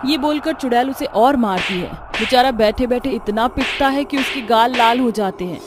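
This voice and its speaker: A woman's voice: on the high side, normal speed, background noise. A woman reading scripted narration as the storyteller of a cartoon tale.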